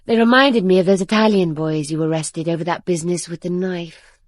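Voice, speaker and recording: An actress playing a guarded young bride-to-be who works in a garage, reflective and controlled, in a radio drama. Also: female voice, on the low side, brisk, no echo, clean studio sound, natural voice. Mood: brooding, controlled. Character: young garage-working bride-to-be, guarded, suspicious, narrating her betrayal. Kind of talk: radio drama acting with confessional narration.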